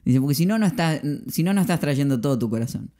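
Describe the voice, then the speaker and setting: A man's voice: a little high, expressive, fast talker, warm tone. A man in his thirties with an Argentine accent, hosting a casual podcast conversation at a close microphone in a small room. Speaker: podcast host, pastor. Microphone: podcast microphone on arm, close.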